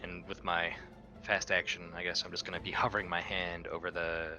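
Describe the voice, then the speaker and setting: A man's voice: low voice, flat delivery, fairly quick. A man playing a tabletop game, chatting in an informal, tentative way with music playing behind him. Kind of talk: casual game-table chat. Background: background music.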